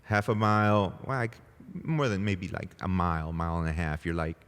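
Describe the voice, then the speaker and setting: A deep-voiced man in his forties from El Salvador, whose first language is Spanish, talking as a pastor guest. He is chatty and easygoing, full of fillers and laughing asides. His voice rings with a long echo in the room. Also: normal speed, fairly bright tone, smooth. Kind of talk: casual interview conversation. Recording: long reverb, echoey room.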